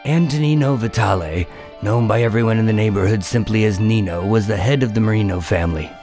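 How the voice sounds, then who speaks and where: A low male voice of an actor plays a nervous undercover agent in a noir radio drama. He tells his story in a wry, deadpan way, with music prominent behind him. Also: a little fast, lightly acted. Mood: tense, wry. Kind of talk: first-person noir radio drama narration and dialogue.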